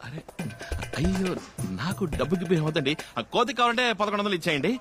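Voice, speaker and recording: An eager, wheedling circus worker in a comedy film. He talks very fast with lively swings, over loud music and crowd sound. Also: high-pitched. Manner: excitable, repetitive.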